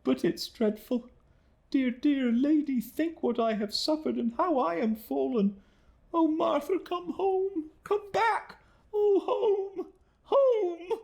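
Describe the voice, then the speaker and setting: A desperate young woman , voiced by a man, pleads through tears in a high, strained voice. Her lines soar well above a man's range and swing widely. Delivery is slow and imploring. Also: expressive, heavily acted. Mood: pleading, sobbing.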